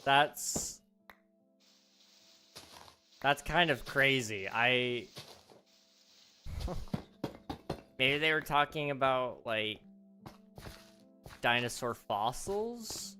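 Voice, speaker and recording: A man's voice: medium pitch, animated, drawn-out words, pauses often, sharp tone, soft background music. A man hosting a casual gaming livestream, rambling and chatty with lots of fillers, his voice slightly strained as he starts to lose it. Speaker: livestream host.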